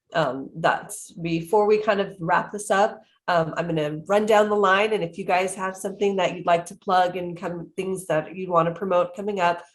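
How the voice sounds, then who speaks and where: Woman, slightly low pitch, moderator, casual panel talk.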